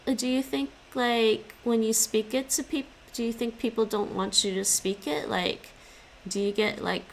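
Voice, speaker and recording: Chatty streamer woman with a gravelly voice. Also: high voice, a little hiss or hum, low-quality recording. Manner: chatty, stops and restarts often, laughs a lot. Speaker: live streamer studying languages. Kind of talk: casual chat with stream viewers.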